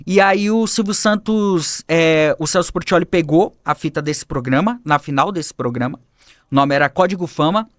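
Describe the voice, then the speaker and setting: A man telling his life story as a podcast guest, animated and acting out the people he quotes, on a studio mic. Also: a little high, moderate pace, no echo. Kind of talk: personal storytelling in a podcast interview.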